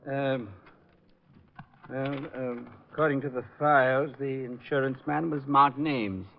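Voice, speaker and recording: Male actor for proper, cooperative insurance official; mid-range, measured old-radio delivery, muffled sound. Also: medium pitch, fairly even intonation, close to an everyday voice. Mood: obliging. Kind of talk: 1940s radio detective acting. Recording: dull vintage radio recording.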